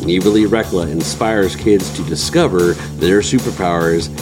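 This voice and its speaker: A low male announcer delivers a polished show intro. Guitar music plays clearly underneath him. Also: normal speed. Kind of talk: scripted podcast intro. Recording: voice over loud guitar music.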